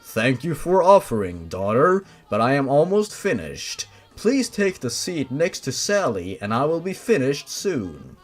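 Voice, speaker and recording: A man voicing a courteous monster father with formal, measured wording. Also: mid-range voice, very animated, soft background music.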